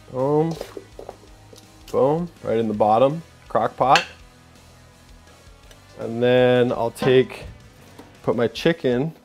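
Young man, cooking host, chatty, upbeat, home kitchen, faint background music. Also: a little mellow. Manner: upbeat, chatty, says "boom" while working. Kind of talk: casual cooking demo.

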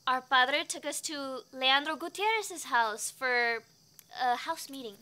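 A young actress playing a feisty farmworker sister looking back in a dramatized oral history. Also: female voice, high-pitched, unhurried, sharp tone. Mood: resolute. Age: young adult. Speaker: actor performing a monologue.

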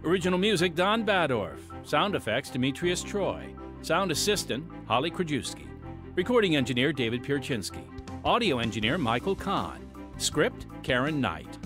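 A man hosting and narrating a scripted radio program with deliberate, dramatic phrasing. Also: a little high, very animated. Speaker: podcast host and announcer. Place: studio voice-over. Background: music.

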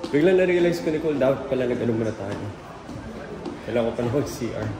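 A man's voice: medium pitch, lively intonation, fast, poor audio. Chatty Filipino man touring a mall. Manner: chatty, teasing, tour-guide banter mixing Tagalog and English. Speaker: vlogger. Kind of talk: casual vlog narration. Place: large indoor furniture mall. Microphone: handheld camera held close.

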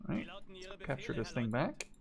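A man in his twenties from the Netherlands chatting casually as he plays a game, excitable and prone to exclaiming. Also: mid-range voice, moderate pace, gravelly. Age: 20s. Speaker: gaming video host and player. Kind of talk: casual gameplay chat and banter. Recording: game gunfire and artillery noise behind. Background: gunfire and artillery from a war game.

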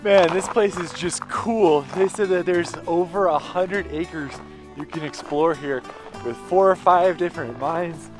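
A man's voice: high voice, a little slow, music in the background. A man in his thirties talking casually to a camera he holds at arm's length, chatty and upbeat as he walks around outdoors. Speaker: travel vlogger on camera. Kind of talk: casual on-the-spot vlog talk. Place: outdoors on cold mining site and hotel interior. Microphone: camera held at arm's length.

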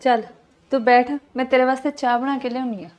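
Woman as polite helpful younger sister, a bit high. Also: level tone, fast talker, darkish tone, close to an everyday voice. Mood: reassuring. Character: young woman visiting to help, polite and obliging.

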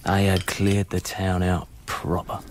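An actor playing a chummy yet dangerous British scavenger in a radio drama, in clipped, sardonic, mock-friendly phrases. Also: male voice, deep voice, completely flat delivery, measured pace, noisy background. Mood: quietly menacing.

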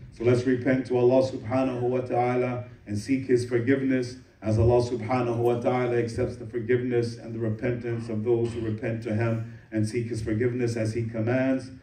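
A man giving a Friday sermon as imam, reciting Arabic verses quickly and translating them into English. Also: medium pitch, level tone, warm tone. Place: mosque prayer hall. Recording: echoey room, voice from a distance.

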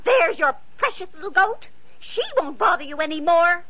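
A woman's voice: very high voice, expressive, moderate pace, pushed and bright, full character voice. A woman playing a spiteful, sly young sister in a vintage radio play, sneering and mean. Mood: cruel. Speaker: radio actress. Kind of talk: 1940s radio acting. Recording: muffled old radio recording with faint hiss.

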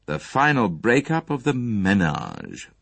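A bitter, sardonic young man, played by a male audiobook reader. He speaks curtly and casually in a low, slightly husky voice, sounding angry and sorry for himself. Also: wildly swooping pitch, slow, fairly bright tone, no echo, full character voice. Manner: curt, sardonic, casual phrasing.

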